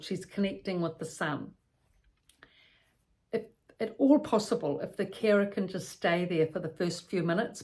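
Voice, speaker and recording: A woman giving an instructional talk as a care educator, explaining step by step with practical examples and repeated points. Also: medium pitch.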